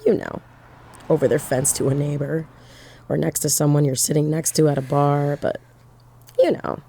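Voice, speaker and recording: A woman's voice: low-pitched, level tone, moderate pace, creaky, warm tone, a little hiss or hum. An actress playing a lonely woman driving at night, confiding in a wry, digressive monologue for an audio horror drama. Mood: wry, rambling. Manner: wry, digressive, musing, breaking off into asides. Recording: music under the voice.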